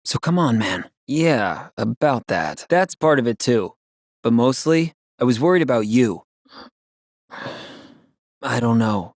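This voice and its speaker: A man's voice: medium pitch, slow pace, no echo, studio quality. A man playing a brave, cocky young soldier hero in a video game, casual and earnest, loyal and defiant. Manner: casual, wisecracking, earnest, expressive.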